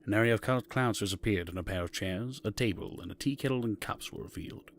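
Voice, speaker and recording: Deep-voiced male story narrator. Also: fast talker, a little hiss or hum.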